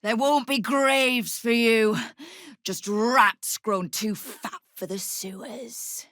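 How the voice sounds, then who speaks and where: A woman's voice: on the high side, fairly even intonation, slow, fairly bright tone, no room sound, clean studio sound. A woman playing a hard-bitten, sardonic crime guild boss in a video game, with a wry, commanding manner. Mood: scornful, sardonic. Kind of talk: video game character dialogue.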